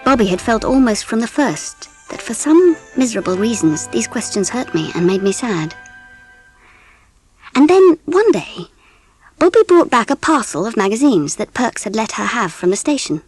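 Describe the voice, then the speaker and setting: An actress playing a genteel English mother who narrates a children's story in a measured, expressive way with an English accent. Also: a little high, faint music behind. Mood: wistful, grave. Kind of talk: scripted audiobook narration with music.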